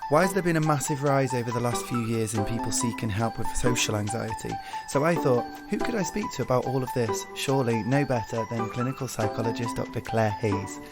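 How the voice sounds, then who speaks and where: A man's voice: mid-range voice, expressive, fairly quick, hardly any pauses, over loud music. A middle-aged man hosting a podcast, interviewing in a casual, conversational way. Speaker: podcast host.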